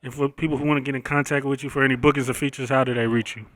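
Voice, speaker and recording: A man's voice: mid-range voice, fairly even intonation, very fast, a bit bright, no echo. Dry-sounding American man hosting casually. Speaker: interviewer.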